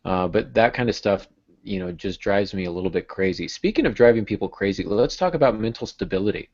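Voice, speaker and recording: Low-voiced man on a dull call recording. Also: lively intonation, brisk, darkish tone. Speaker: podcast host. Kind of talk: casual podcast discussion on writing. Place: small home room, video call. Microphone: earbud headset. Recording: dull, lo-fi video-call audio.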